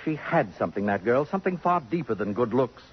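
An actor playing a vain, acid-tongued middle-aged columnist with pompous, precise diction in a 1950s radio drama. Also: male voice, mid-range voice, big pitch swings, brisk, natural voice. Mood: wistful, self-important. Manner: pompous, precise, sardonic, elaborate phrasing. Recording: muffled, noisy 1950s radio broadcast.

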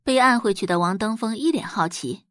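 A woman's voice: medium pitch, brisk, a bit bright, no room sound, clean studio sound. A woman reading scripted dramatic narration as an audiobook narrator.

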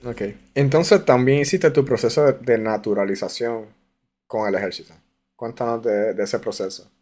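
A man's voice: medium pitch, normal speed, a little mellow. Man hosting an interview. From Puerto Rico. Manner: explains to listeners, asks guest to go on, laughs easily. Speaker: podcast host. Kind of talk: casual interview.

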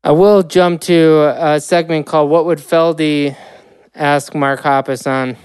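Low-voiced woman interviewer. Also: steady tone, a little slow, a little mellow, smooth, no echo, clean studio sound. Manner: chatty, hesitant, lots of fillers. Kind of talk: casual interview chat.

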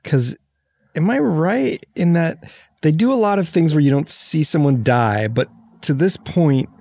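A man's voice: fairly high pitch, lively intonation, unhurried, creaky, a little mellow, dry recording. Inquisitive man chatting on a dry mic. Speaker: film podcast co-host. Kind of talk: casual film chat.

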